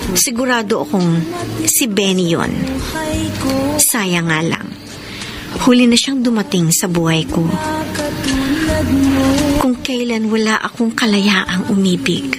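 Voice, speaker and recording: An actress playing a weary, exasperated wife in a radio melodrama, with an emotive, dramatic delivery. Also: female voice, mid-range voice, natural voice. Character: weary, exasperated young wife confessing her troubled marriage. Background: dramatic background music.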